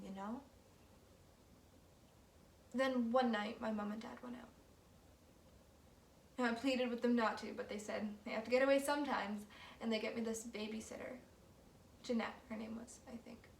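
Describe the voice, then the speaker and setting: A woman acting an uneasy young woman recalling her childhood in a naturalistic stage monologue, with hushed, conversational storytelling. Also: fairly high pitch, close to an everyday voice. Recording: roomy, distant mic, faint background noise.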